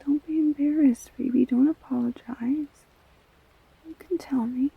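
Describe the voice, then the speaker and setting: A woman playing a tender, reassuring girlfriend in an intimate audio roleplay, speaking haltingly and softly with a breathy, husky voice. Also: high voice, steady tone, narrow, tinny audio. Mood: gentle, soothing. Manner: soft, halting, soothing, often near whispering. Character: tender, reassuring girlfriend comforting an upset partner.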